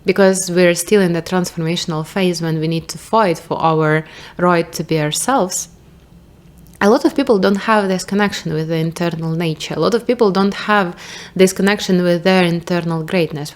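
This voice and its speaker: A woman's voice: fairly low pitch, steady tone, moderate pace. Rambling Ukrainian-accented young woman vlogger. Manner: rambling, thinks aloud, restarts, casual swearing. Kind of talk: casual reflective monologue.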